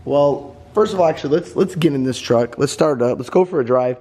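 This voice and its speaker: A hoarse man who has lost his voice, chatting casually and rambling in a vlog while driving his truck. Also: medium pitch, a little fast, a little mellow. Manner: chatty, rambling, clears throat. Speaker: vlogger. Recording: noisy car interior. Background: engine and road noise.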